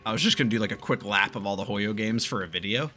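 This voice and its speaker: A man giving casual, animated gameplay commentary as a streamer, swearing freely as he reacts. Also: on the low side, fast talker. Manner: animated, profane, reads game dialogue aloud.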